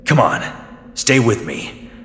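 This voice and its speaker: Tough weary hero, gruff, sardonic, unhurried, long echoing reverb, music, audio drama. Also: male voice, mid-range voice, big pitch swings, background noise. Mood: strained urgency. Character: gruff, weary, tough male mutant brawler. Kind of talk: superhero audio drama acting. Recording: echoey tunnel reverb, music underneath.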